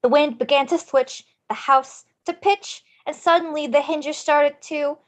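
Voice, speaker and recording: An earnest young farm girl played by a woman tells her tale in rhyme. Her voice is high, with a rhythmic musical-script delivery. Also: steady tone, measured pace, muffled recording. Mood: earnest, lively.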